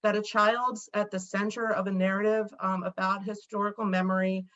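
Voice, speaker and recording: Bright-voiced woman on a dull call line. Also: medium pitch, little pitch movement, moderate pace. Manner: thoughtful, hesitant with "um" fillers. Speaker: panelist, educator. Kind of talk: academic panel talk. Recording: dull, lo-fi video call audio.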